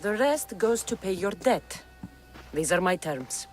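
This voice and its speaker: Casting: actress for young female sellsword with Greek accent, wry and guarded, expressive mid-range voice, game drama. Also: mid-range voice, unhurried, soft background music, close to an everyday voice. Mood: firm bargaining. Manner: blunt, dry, guarded. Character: tough, wry young Greek mercenary woman, blunt and suspicious. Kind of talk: video game voice acting.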